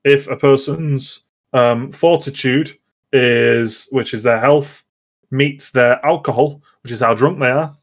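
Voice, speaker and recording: A man chats casually as a podcast host about games, at a middling pitch. He rambles and hesitates, often repeating words as he finds his way through a sentence. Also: takes it slowly. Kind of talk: casual gaming podcast chat.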